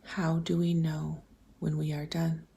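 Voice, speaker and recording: A woman with a low, mellow voice leads a guided meditation. Her delivery is very slow and nearly level in pitch, soft and breathy to the edge of a whisper. She poses gentle, open questions and leaves space after them. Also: monotone. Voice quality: soft, breathy, often slipping toward a whisper. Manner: gentle, deliberate, posing open questions with pauses. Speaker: guided visualization host.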